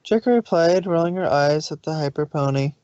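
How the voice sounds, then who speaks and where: Woman narrator, medium pitch, expressive story reading, close dry sound, slightly dull audio. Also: moderate pace, no echo. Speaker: fan fiction narrator. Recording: slightly dull home recording.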